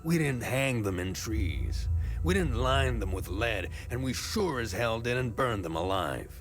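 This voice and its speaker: A man's voice: mid-range voice, animated, soft background music. An actor playing a weary, bitter, devout middle-aged man in a war-torn country, in grim video game dialogue. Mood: defiant, grim. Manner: grim, world-weary, deliberate.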